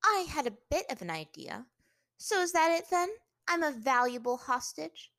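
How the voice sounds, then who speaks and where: Scornful young warrior princess for fantasy audiobook, acted by a female narrator: raised pitch, indignant, sarcastic, biting. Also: very animated, fairly quick, fairly bright tone, no room sound, clean studio sound. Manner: indignant, sarcastic, punctuating words sharply. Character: defiant, proud, scornful young captive princess fire-warrior.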